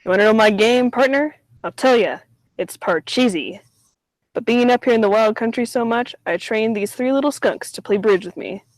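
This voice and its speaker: A man's voice: sits high, normal speed, no echo, close to an everyday voice, rough recording. A man playing a folksy, teasing backcountry game warden in a radio comedy sketch, with wisecracking cowboy talk.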